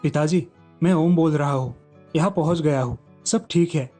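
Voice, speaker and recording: A studious young village son, sure of himself , acted by a male storyteller. The tone is mellow and a little high for a man, over story music. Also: normal speed, natural voice. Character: studious, self-assured young son of a farmer. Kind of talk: children's story character voice.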